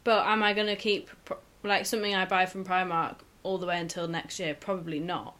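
Young woman, bright voice, rambly chatty podcast host at home. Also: on the low side, level tone, fairly quick. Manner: rambling, chatty, laughs often. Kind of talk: casual chat between friends. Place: shared home.

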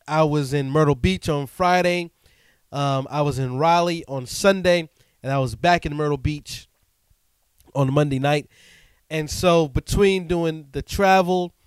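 Male podcast host and DJ, a little stuffed up, slightly high voice, smooth and dry, relaxed chatty delivery. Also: no room sound. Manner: relaxed and chatty, frequent "um" and "man". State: stuffed-up nose. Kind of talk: casual solo podcast talk. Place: studio.

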